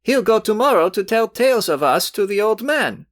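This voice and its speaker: A man's voice: medium pitch, animated, a little slow, fairly bright tone, no echo, clean studio sound. A man reading aloud voices a brash, insolent young man who talks hotly with a raised voice. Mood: heated.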